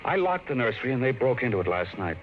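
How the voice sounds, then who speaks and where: A man's voice: mid-range voice, sing-song, quick pace, slight background noise, natural voice. A middle-aged actor playing an anxious father of two in a 1950s radio drama, uneasy and questioning at first, then firm. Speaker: worried father in a radio play. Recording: muffled roomy 1950s radio broadcast.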